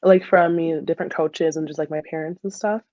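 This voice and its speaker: A woman's voice: low voice, fairly even intonation, a little fast, darkish tone, no echo. A woman, chatting casually and informally as an interview guest on a dull recording. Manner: chatty, frequent laughter and filler "like". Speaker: podcast guest, soccer player and dental student.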